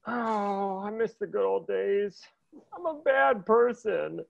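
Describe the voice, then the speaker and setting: A man's voice: sits high, slow, vocal fry, muffled recording, exaggerated voice. A man reading the part of a grieving young husband at a table read, sounding mopey. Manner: mopey, often breaking into laughs.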